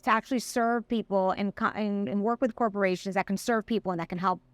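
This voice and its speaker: A woman's voice: mid-range voice, fairly even intonation, no echo. A middle-aged woman from Colombia, a guest in an interview, speaking with passion and drawing out key words for emphasis. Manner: passionate, emphatic, drawn-out stresses. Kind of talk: conversational interview.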